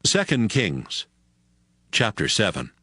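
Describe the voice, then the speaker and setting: A man's voice: on the low side, huge pitch swings, slow pace, sharp tone, slightly rough, dry recording, clean studio sound. A man narrating a dramatized Bible audiobook from a script. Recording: music behind the voice.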